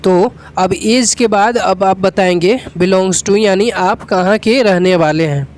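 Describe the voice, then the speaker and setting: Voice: mid-range voice, slow, darkish tone. Indian accent, English teacher, mid-range voice, methodical, repetitive phrasing, instructional delivery. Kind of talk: instructional lesson, explaining step by step.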